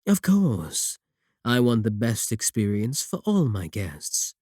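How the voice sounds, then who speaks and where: A man playing a courteous, manipulative warlock shopkeeper in a roleplay audio, coaxing and flattering his guest with polite, unhurried talk. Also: medium pitch, no echo, clean studio sound, exaggerated voice. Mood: smooth, persuasive. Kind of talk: second-person roleplay audio acting.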